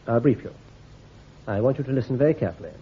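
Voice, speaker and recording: An actor playing a shrewd army intelligence commander in a radio drama, issuing careful orders in a clipped, authoritative way. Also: male voice, medium pitch, expressive, very fast, husky. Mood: commanding. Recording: tinny, phone-like old recording.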